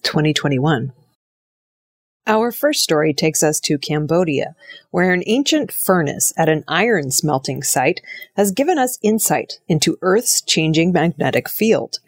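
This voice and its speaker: Female newsreader, lowish register, measured formal scripted narration, dry recording. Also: dry recording. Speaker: audio news reader.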